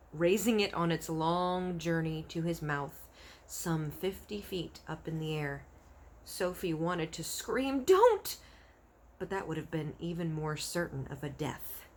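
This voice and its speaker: A woman reading a children's book aloud as an expressive storyteller. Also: on the low side, slight background noise.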